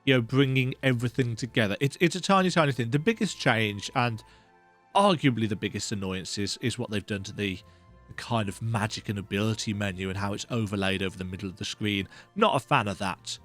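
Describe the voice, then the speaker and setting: A man's voice: lowish voice, fairly quick, fairly bright tone. A man giving casual, chatty, self-deprecating commentary as host of a let's play, speaking close to the mic. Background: video game music.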